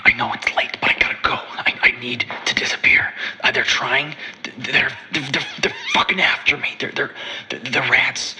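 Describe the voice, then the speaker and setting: A man's voice: mid-range voice, bright, forceful tone. An actor playing a paranoid, panicking man on a voicemail, stammering, breathless and swearing. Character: paranoid, panicking man fleeing imagined rats. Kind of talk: acted voicemail message. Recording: faintly noisy voicemail with clicks.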